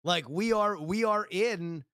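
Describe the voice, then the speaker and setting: An American man co-hosting a podcast in casual chat, halting often and circling back over his words. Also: high-pitched, a bit bright, dry recording, studio quality. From United States. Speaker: podcast co-host.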